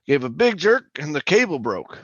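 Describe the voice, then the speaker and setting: A man's voice: a little high, big pitch swings, moderate pace, fairly bright tone, slightly rough, dry recording, muffled recording, full character voice. A man playing a jittery, haunted oil rig worker telling his suspense story in colloquial, stammering old-time radio style. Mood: uneasy recounting. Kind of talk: old-time radio drama narration, first-person suspense.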